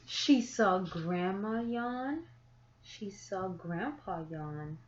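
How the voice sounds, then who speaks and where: A woman reading a children's picture book aloud, with deliberate, expressive phrasing and drawn-out words for young listeners. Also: mid-range voice, a little hiss or hum. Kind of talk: children's story read-aloud. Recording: echoey room, slightly muffled sound.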